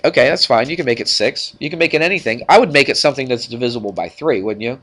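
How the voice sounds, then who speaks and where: A male math teacher works through problems for his class. He sounds congested and stuffed up. His delivery is informal, full of short check-in questions, at an ordinary middle pitch. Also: fast, fairly bright tone. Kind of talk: classroom worked-problem lesson.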